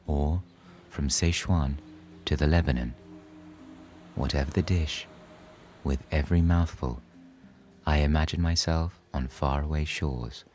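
A man narrating a scripted bedtime story in a soothing, measured manner. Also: very deep voice, a little mellow.

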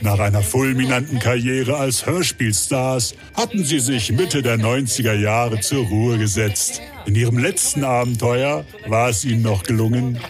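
An actor narrating a comic adventure as a theatrical, mock-dramatic storyteller in an audio play. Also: male voice, medium pitch, moderate pace, hardly any pauses, music in the background, lightly acted. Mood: cosy, savouring.

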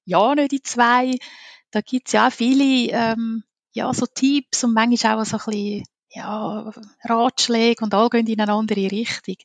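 A woman's voice: a little high, little pitch movement, a little slow, dry recording. Chatty female host. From Italy. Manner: chatty, personal, tags remarks with questions. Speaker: podcast host. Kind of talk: conversational interview.

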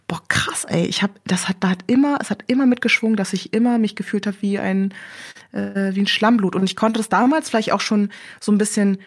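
Young woman guest, lowish voice, reflective and halting, many fillers. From Germany. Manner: hesitant, searching for words with many fillers. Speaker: interview guest. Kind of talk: reflective interview conversation.

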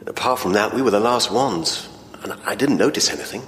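An actor playing a nervous, grieving stage performer who speaks hesitantly and uneasily in an audio drama. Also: male voice, on the low side, expressive, fairly quick, fairly bright tone. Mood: uneasy. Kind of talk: full-cast audio drama acting. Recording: echoey reverberant hall with faint noise.

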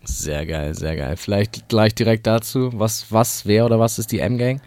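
A man hosts a laid-back interview, asking questions and dropping short slangy reactions. His voice sits on the low side. The sound is dry and close. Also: moderate pace, a little mellow, dry recording. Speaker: interview host. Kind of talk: casual interview.

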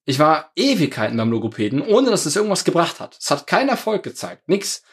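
A man telling a story in a relaxed podcast chat, laughing often. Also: a little high, quick pace, breathy edge. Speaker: podcast co-host, magician.

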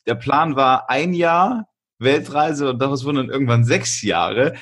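A man's voice: medium pitch, expressive, measured pace, a bit bright. A man hosting a podcast, giving an upbeat, chatty introduction and asking short questions. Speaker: podcast host. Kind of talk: podcast interview.

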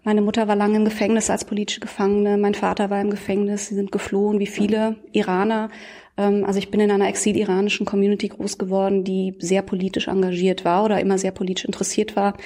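Fast-talking woman explaining calmly. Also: mid-range voice. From Iran, raised in Germany. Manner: fluent, explanatory, frequent fillers. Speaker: Iran researcher, guest. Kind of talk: interview answers.

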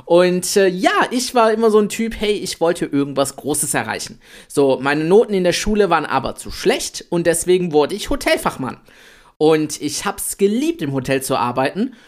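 A man in his late twenties co-hosting a podcast, talking in a chatty, energetic way about building an online business. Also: sits high, moderate pace. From Italy. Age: 20s. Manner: energetic, chatty, swears lightly. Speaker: podcast co-host. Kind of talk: casual podcast chat.

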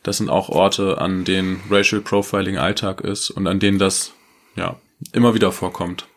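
A man with a fairly low voice co-hosts a casual talk podcast. He speaks bluntly and informally, addressing listeners directly. When he reads listeners' stories aloud, he keeps a steady, even delivery. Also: moderate pace. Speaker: podcast co-host.

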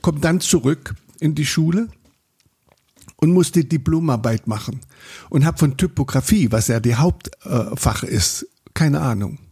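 A man's voice: on the high side, animated, measured pace, warm tone, breathy edge. An older man from Saxony, a photographer recalling his past in a conversational interview, rambling and often restarting his sentences. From Saxony, Germany. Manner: rambling, self-interrupting storyteller with many restarts.

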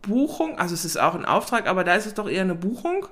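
A woman's voice: medium pitch, big pitch swings, fast talker, fairly bright tone. A woman in her forties hosting an interview, talking casually and hesitantly with many fillers as she thinks aloud. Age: 40s. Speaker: podcast host.

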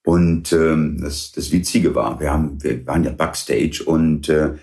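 A middle-aged man, English-born and raised in Germany, telling anecdotes in a relaxed interview, with many fillers and playful quoted voices. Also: very deep voice, measured pace. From England. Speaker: guest. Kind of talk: casual interview storytelling.

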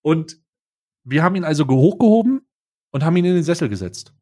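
A young man tells a wild story on a podcast, animated and slangy, tripping over his own restarts. His voice sits a little higher than most men's. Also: quick pace. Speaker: podcast co-host. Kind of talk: casual storytelling.

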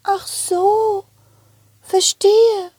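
Hurt, sulky childlike shoe in a kids' puppet play. Very high, whiny, pouting, dry close sound. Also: female voice, level tone, drawn-out words, warm tone, no echo, full character voice. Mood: softening. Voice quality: squeaky, whiny. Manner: pouting, hesitant.

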